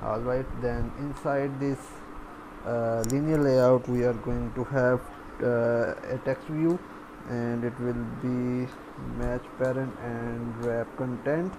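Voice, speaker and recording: A man giving an unscripted coding tutorial as an instructor, talking haltingly as he thinks aloud while typing. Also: mid-range voice, little pitch movement. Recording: clear background noise, room ambience.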